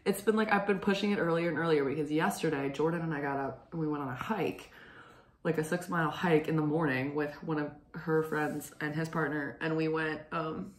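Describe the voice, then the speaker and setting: A young adult woman hosting a knitting show, chatting casually and rambling, hoarse and clearing her throat often. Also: low-pitched, fairly even intonation, a little fast. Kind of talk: casual chat. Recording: echoey room.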